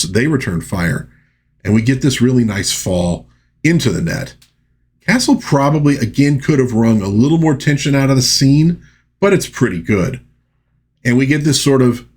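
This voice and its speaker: A man's voice: fairly low pitch, husky. A man hosting a movie review, recapping and commenting in a conversational, informal and folksy way. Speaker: film review host.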